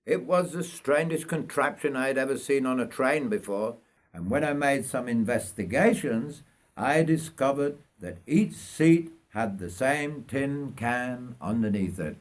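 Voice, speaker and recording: An older man from North Yorkshire narrates his autobiography in a mid-pitched voice. He reads at a slow, measured pace, reflecting as he tells the story. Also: expressive. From North Yorkshire, England. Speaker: writer reading own memoir.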